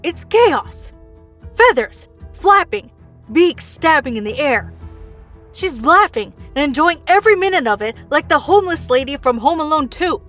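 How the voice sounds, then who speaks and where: High-pitched, bitter woman caller played by an actress. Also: a bit bright. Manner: deliberate, clipped fragments, sardonic storytelling. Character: scared, bitter, sarcastic woman caller facing doomsday. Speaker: caller to a helpline. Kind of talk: dramatic monologue in an audio drama.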